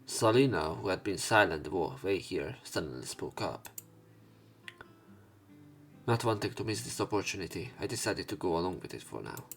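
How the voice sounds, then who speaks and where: A man with a fairly low voice narrates a visual novel as a let's play. He reads story and dialogue aloud a little haltingly and corrects himself. Faint game music plays underneath. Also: expressive, fairly bright tone.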